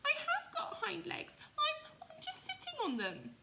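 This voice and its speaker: A woman's voice: extremely high pitch, huge pitch swings, measured pace, creaky, very noisy, voice pushed up, heavily acted. A woman voicing a small, anxious boy toy rabbit in a children's storybook, plaintive, childlike and prone to stammer. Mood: boastful, flustered. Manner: plaintive, stammering when flustered.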